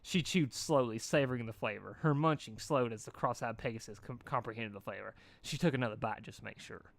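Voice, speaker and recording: A man hosting a fan fiction reading, switching between expressive narration and informal, rambling chat. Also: mid-range voice, a little fast, creaky. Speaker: fan fiction reader and video host. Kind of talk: animated story reading and casual chat.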